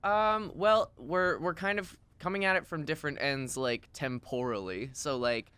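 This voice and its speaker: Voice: medium pitch, normal speed, fairly bright tone, smooth, dry recording. A person chatting casually in a joking, self-deprecating way. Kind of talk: casual chat.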